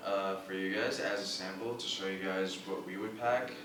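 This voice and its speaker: A man's voice: low voice, fairly even intonation, moderate pace. A young man from Syria, an international student, casually giving packing advice to viewers in a friendly way. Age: young adult. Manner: friendly, advising, addresses viewers as guys. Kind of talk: casual informational video. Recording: roomy, mic at a distance, music underneath.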